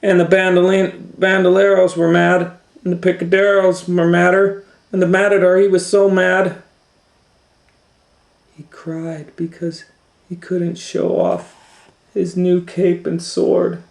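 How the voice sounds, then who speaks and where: A man reading a children's storybook aloud as a host, in a dramatic, teacherly manner, pausing to comment and ask questions. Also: high-pitched, monotone, gravelly. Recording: echoey room with faint noise.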